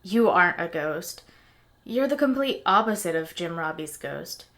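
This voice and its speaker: A woman playing a sarcastic, excitable young tinkerer in an audio drama, snappy and skeptical. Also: on the low side, normal speed. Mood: blunt, scornful.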